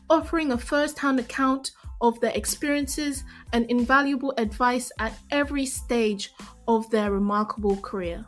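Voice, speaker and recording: A woman hosting a podcast, reading an upbeat scripted introduction and asking interview questions. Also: sits high. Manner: upbeat and enthusiastic. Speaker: podcast host.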